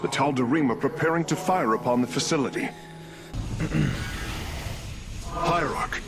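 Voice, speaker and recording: An actor playing an earnest, respectful alien engineer in a video game, speaking formally and carefully. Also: male voice, on the high side, a little fast, close to an everyday voice. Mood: urgent. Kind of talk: video game cutscene acting. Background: loud music.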